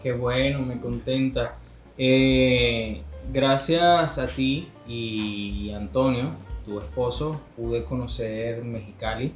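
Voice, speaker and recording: A man hosting an interview, asking questions in a slow, deliberate way. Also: medium pitch. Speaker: interviewer host. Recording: roomy echo with faint music.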